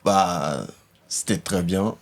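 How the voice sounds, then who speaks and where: Deep-voiced laconic teen from Ivory Coast. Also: male voice, slow pace. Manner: brief, laconic answers.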